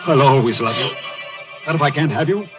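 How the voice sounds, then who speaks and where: A man's voice: mid-range voice, brisk, music in the background, natural voice. A man playing a massive, jealous, cunning mad sculptor in an old radio thriller, intense and brooding, with theatrical menace. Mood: anguished, desperate. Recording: muffled old radio recording.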